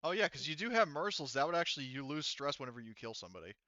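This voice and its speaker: A man runs a tabletop game as game master in casual conversation. His voice sits at an average pitch. The recording is slightly dull and very dry. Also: very animated, racing, bright, forceful tone, no room sound.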